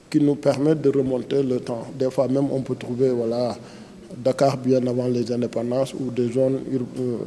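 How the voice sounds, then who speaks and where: A man's voice: medium pitch, warm tone. A man with a Senegalese accent explaining things in an interview as an agency director, hesitating and restarting his phrases. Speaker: director general of a planning agency.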